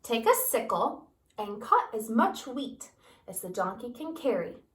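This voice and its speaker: A busy picture-book mother hands out firm instructions, played by a woman reading aloud. The voice is a bit high and comes from a roomy space. It sounds somewhat far from the mic. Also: sing-song, unhurried, a bit bright. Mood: brisk. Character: busy, brisk mother giving orders. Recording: echoey room, voice off-mic.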